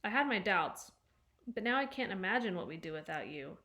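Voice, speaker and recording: A woman voicing a warm, slightly gruff father in a children's book read-aloud. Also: mid-range voice, animated, brisk. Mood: kindly.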